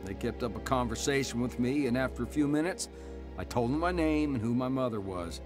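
A man's voice: mid-range voice, expressive, brisk, lightly acted. Actor as guy telling his own life story in a radio play, medium voice, music behind. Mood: reflective. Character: reflective man recounting his own criminal past. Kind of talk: first-person dramatised narration.

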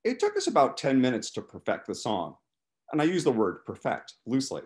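A man in his fifties doing an animated first-person reading from his novel over a video call. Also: medium pitch, brisk. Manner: animated, acts out dialogue. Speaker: author reading from own novel. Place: small living room, indoors. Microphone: video call, computer microphone.

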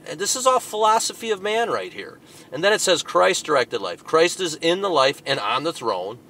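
A man preaching a fiery sermon, reading out a tract and rebutting it, moving between loud, emphatic bursts and low asides. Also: big pitch swings, normal speed, slight background noise.

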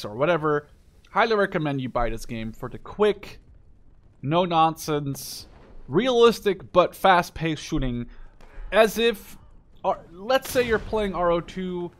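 A man in his twenties giving casual, rambling gameplay commentary, opinionated and emphatic, with some swearing. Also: fairly high pitch. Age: 20s. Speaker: gaming video host. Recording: game gunfire and clicks behind voice. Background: video game gunfire, clicks.

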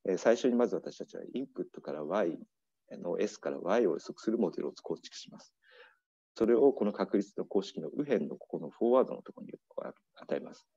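A middle-aged man with a very low, mellow voice delivers an academic keynote over slides, pausing often and filling gaps with hesitation sounds. The sound is dry and a little dull, like a remote talk recorded at a desk. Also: expressive, slightly rough, no room sound. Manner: measured, frequent fillers and pauses. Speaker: keynote speaker. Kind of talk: academic conference lecture. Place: indoor room, video talk over slides.

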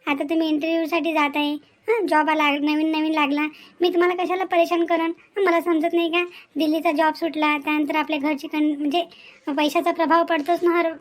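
A woman voicing a modest, considerate young wife in a cartoon family drama, gentle and deferential, with a Maharashtrian Marathi accent. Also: sits high, level tone, a little fast, lightly acted. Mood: touched, earnest.

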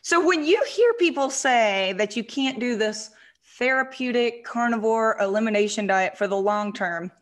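A woman's voice: a little high, moderate pace. A woman in her forties hosting a casual interview from her living room, asking chatty, friendly questions into a studio mic. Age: 40s. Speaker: host. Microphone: studio mic in view.

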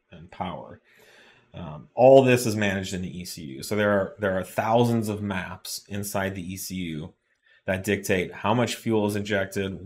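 A middle-aged man hosting a live chat, explaining engine tuning in plain terms with many fillers and restarts, recorded in an indoor studio. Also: sits low. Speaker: host. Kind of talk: casual technical explanation. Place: indoor studio with shop products on desk.

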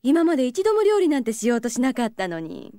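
A woman playing a kindly, amused mother of teenagers in an anime-style audio drama, motherly and gently chiding. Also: fairly high pitch, huge pitch swings, fast talker, no room sound, clean studio sound. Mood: encouraging.

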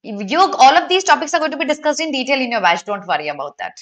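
A woman's voice: high-pitched, sing-song, quick pace, a bit bright. A young woman with an Indian accent teaching a live online exam prep class, giving instructions directly to students.

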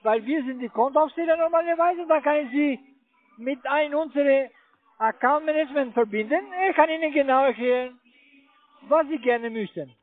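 A very high-voiced man with a foreign accent works through a sales call in halting, fumbling phrases. He is not a native speaker of the language. The sound is a tinny, narrow phone line. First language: not German. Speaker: telephone investment salesman.

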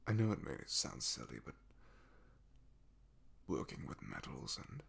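Man acting as a shy, gravelly, half-whispering young knight. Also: sits low, sing-song, exaggerated voice. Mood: wistful. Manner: halting, stammering, soft-spoken. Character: young loyal royal knight, shy, humble, devoted. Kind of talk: ASMR roleplay acting.

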